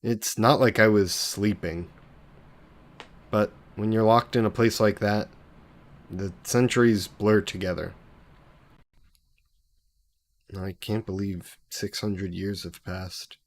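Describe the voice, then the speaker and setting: A man's voice: lowish voice, steady tone, a little slow. A man playing a sassy, possessive, centuries-old demon in an audio roleplay, speaking haughtily and sardonically in formal wording. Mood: indignant. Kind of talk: scripted audio roleplay addressed to the listener.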